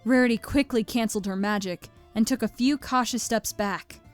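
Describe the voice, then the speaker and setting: A woman's voice: on the high side, moderate pace, faint music behind. A woman reading scripted story narration as the narrator of a fan fiction audio drama.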